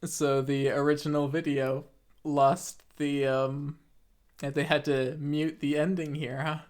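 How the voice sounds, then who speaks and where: A man's voice: on the high side, flat delivery, a little slow, a little mellow. A man giving casual, off-the-cuff commentary as the host of a reaction video.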